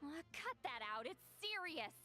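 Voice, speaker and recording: A woman's voice: extremely high pitch, sing-song, normal speed, sharp tone, faint music behind, heavily acted. A woman voicing an impatient, bossy female video game character, brusque and scolding. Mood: urgent, scolding.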